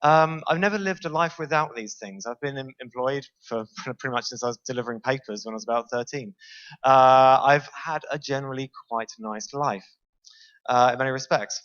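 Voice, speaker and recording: British man giving a relaxed conference talk. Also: mid-range voice, normal speed, muffled recording. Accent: British English. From Britain. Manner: easygoing, self-aware, asks the audience questions. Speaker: conference speaker. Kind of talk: conference talk to a live audience. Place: large lecture hall on a stage.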